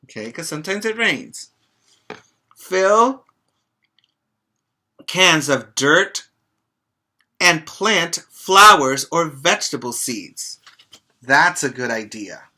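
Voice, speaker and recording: A middle-aged man, a preschool teacher, reading and explaining to young children in a simple, expressive way with short asides. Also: a little high, slow, pauses often. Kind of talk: reading a children's book aloud and chatting to young pupils. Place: small room, indoors. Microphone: webcam or laptop mic at arm's length.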